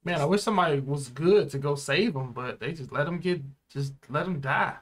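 A man's voice: a little high, brisk. A young man chatting casually as a reaction show host, using slang and repeating phrases for emphasis. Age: young adult. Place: small room indoors, seated.

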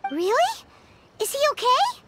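A woman voicing a small childlike fairy companion in a video game, excitable, protective and expressive, with a squeaky voice. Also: unhurried, very noisy, full character voice. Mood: concerned. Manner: expressive, exclaiming, cutesy.

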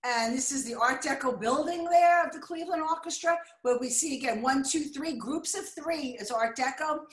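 An older American woman giving a chatty, excitable slideshow lecture on a video call, now and then apologizing when the slides skip. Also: sits high, normal speed. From United States. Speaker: art deco lecturer. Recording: echoey room.

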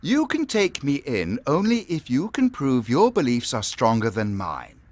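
A man's voice: mid-range voice, no room sound. A man playing a mocking, menacing pagan lord in an audio drama, with an urbane, theatrical, condescending manner. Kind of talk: full-cast audio drama acting.

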